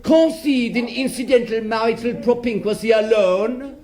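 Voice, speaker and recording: High-voiced, florid, gloating bourgeois villain. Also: male voice, moderate pace, darkish tone. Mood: indignant, accusing. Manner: florid, sardonic, declamatory, gloating. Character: brazen necrophile murderer, self-righteous bourgeois man in court. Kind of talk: theatrical radio drama monologue.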